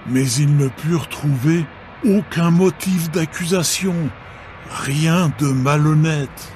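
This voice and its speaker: Slow, measured male scripture narrator. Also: mid-range voice, a little mellow. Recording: background music.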